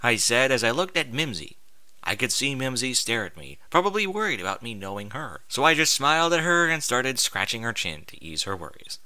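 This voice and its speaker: Animated, very bright-voiced man reading a story. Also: mid-range voice, fairly quick, smooth, no echo. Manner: expressive, informal, sweary interjections. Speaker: fan fiction reader. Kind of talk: animated first-person story narration with ad-libbed asides.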